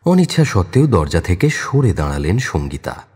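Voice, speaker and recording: A man narrating a scripted story dramatically, in a dark, husky voice. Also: low voice, big pitch swings, moderate pace. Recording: long reverb over music bed. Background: music.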